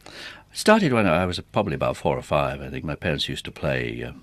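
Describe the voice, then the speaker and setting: Very deep-voiced, wry older man. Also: big pitch swings, a little fast, a bit bright, no echo. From north of England. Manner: wry, dryly joking. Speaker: interview guest, theatre organist. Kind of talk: casual reminiscing interview. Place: radio studio. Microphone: close studio microphone.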